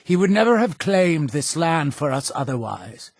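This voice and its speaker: An actor playing a zealous, imperious cult leader in a video game, with a gravelly, husky voice and solemn, grandiose delivery. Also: male voice, fairly high pitch, very animated, moderate pace, no echo, studio quality. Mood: grave, resolute. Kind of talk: theatrical video game dialogue.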